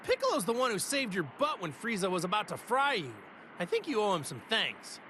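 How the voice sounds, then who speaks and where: A man's voice: sits high, brisk, noisy background. A man playing a small, spirited fighter in an anime video game, cheeky and chiding as he keeps the peace. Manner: chiding, lively.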